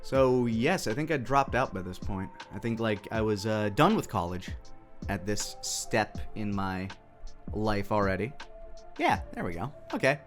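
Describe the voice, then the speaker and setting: A man's voice: lowish voice, sing-song, a little slow, faint music behind. A man giving casual, off-the-cuff commentary as a video creator, rambling and thinking aloud as he goes.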